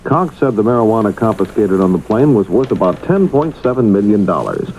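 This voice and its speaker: A man's voice: fairly low pitch, big pitch swings, fairly quick, darkish tone, slight background noise, low-quality recording. A man reading scripted news as a radio anchor on a 1970s broadcast.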